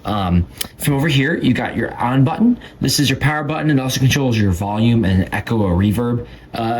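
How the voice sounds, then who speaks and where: A man's voice: medium pitch, a little hiss or hum. A man giving a casual, approving product demo in an informal, step-by-step way. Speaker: product reviewer.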